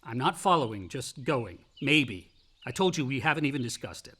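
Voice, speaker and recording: An actor playing a volatile, wounded college-age son in a radio play, with a sardonic, defensive American delivery. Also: male voice, medium pitch, expressive, normal speed, pushed and bright, breathy edge. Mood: sullen, defensive. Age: young adult. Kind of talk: naturalistic radio play acting.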